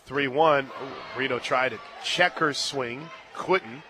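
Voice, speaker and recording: A man calling a live softball game on radio, play by play, brisk and rising to excited shouts on big plays. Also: medium pitch. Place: stadium radio booth.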